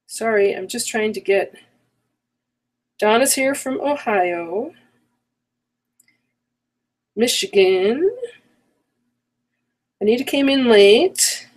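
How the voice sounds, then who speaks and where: A woman's voice: on the high side, animated, slow pace, pauses often. Chatty middle-aged woman hosting a craft livestream. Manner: chatty, rambling, self-correcting. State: voice getting worn from a cold. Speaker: online workshop host. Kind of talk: casual live-stream chat.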